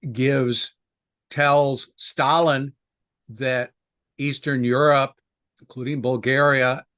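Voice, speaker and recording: A man's voice: mid-range voice, a bit bright, no echo. An older man with an American accent, speaking as a historian guest in an interview and thinking aloud in a discursive way. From United States.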